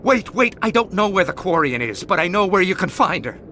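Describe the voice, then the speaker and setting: A man's voice: sits high, sing-song, fairly quick, slight background noise, full character voice. An actor voicing a cowardly male crook in a video game, panicky and pleading, stammering as he begs. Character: cowardly male warehouse worker and crime boss. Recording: game audio with loud score behind.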